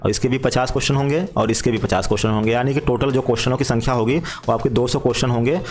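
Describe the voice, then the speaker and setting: Male presenter, mid-pitched, brisk informal explainer delivery with repeated key points, over background music. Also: steady tone, a little mellow. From India. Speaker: job exam guidance presenter.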